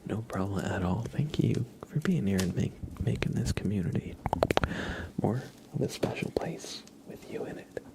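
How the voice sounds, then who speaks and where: A man softly whispering in a breathy, reassuring way, chatting casually with viewers on an ASMR livestream. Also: lowish voice, lively intonation, normal speed, low-quality recording. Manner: soft-spoken, reassuring. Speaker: ASMR live streamer.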